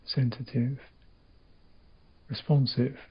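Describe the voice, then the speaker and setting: A man with a husky, creaky voice leads a guided meditation. He speaks as a teacher in halting, thoughtful phrases, leaving long gaps between them. The recording is slightly dull. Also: medium pitch, level tone. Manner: halting, reflective, short phrases with long pauses.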